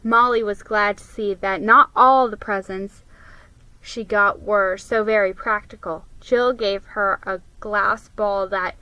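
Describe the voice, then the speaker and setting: Woman, storybook narrator, slow pace, bright tone, dry close sound. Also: mid-range voice, fairly even intonation, smooth, dry recording, rough recording. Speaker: storybook reader. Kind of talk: dramatic reading of a children's story.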